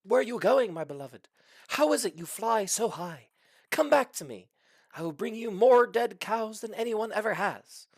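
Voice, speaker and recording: A man's voice: sits high, animated, normal speed, dry recording, pitched above the performer's own voice. A man voicing a lovesick young male dragon in a comic storybook, pleading ardently and melodramatically. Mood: earnest pleading.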